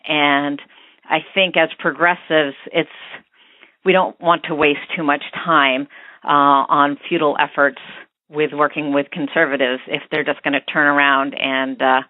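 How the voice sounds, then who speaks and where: Female American caller, tinny phone line, dry sound, medium pitch, informal and halting, thinking through her opinions aloud. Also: little pitch movement, no echo. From United States. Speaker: podcast listener leaving a voicemail. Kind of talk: informal spoken opinion message.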